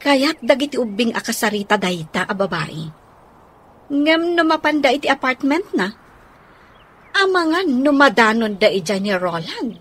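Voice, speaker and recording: A woman playing a concerned, protective adult in a radio drama, speaking in a reassuring, measured way. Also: on the high side, animated, fairly bright tone.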